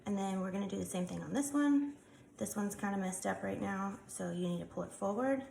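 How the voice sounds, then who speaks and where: A woman's voice: mid-range voice, a little fast, gravelly, darkish tone. A woman with a congested voice, chatting casually as she demonstrates a craft step by step. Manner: chatty, explains while working with hands, frequent ums. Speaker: craft tutorial maker. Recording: echoey room, faint background noise.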